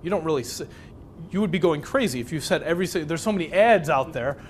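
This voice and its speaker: A man's voice: on the high side, animated, fast talker, slight background noise. Chatty man teaching a workshop. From Chicago, USA. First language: Polish. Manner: conversational, asks the audience many questions. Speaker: speed reading instructor. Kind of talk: live workshop lecture.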